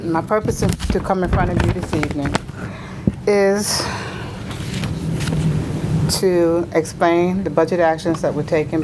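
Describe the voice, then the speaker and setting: Low-voiced woman, a bit raspy. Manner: formal, reading from slides. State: slightly raspy from illness. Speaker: budget presenter. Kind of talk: formal council presentation. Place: city council chamber. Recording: noisy council chamber audio.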